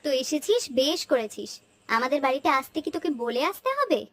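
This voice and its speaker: A woman's voice: high voice, sing-song, fairly quick. A woman voicing an affectionate, hospitable village aunt in a cartoon, coaxing and teasing as she fusses. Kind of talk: Bengali cartoon dialogue.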